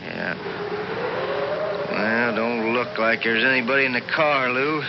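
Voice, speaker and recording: A man's voice: medium pitch, unhurried, vocal fry. A man acting in a 1970s radio drama as a plainspoken, wry rural sheriff with a folksy, laconic way of talking. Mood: wry, matter-of-fact. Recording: noisy, muffled 1970s radio broadcast.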